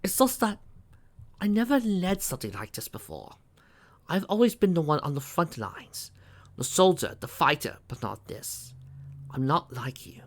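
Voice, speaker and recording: A reader voicing a humble younger royal alicorn sister, soft and hesitant, in a fantasy story. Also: mid-range voice, big pitch swings, moderate pace, voice pushed up. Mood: insecure.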